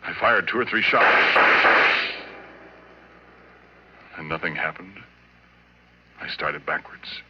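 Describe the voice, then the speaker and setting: Actor as a haunted, very deep-voiced oilfield man. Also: natural voice. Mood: tense dread. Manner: halting, intimate, brooding delivery. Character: haunted middle-aged oilfield worker confessing a horror, obsessed. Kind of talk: 1940s radio drama monologue. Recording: muffled, noisy old radio recording.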